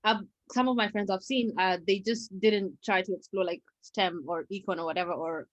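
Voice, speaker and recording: A woman's voice: mid-range voice, fairly even intonation, moderate pace, no room sound, highs cut off. A woman giving career advice in casual panel chat, hesitantly circling toward her point.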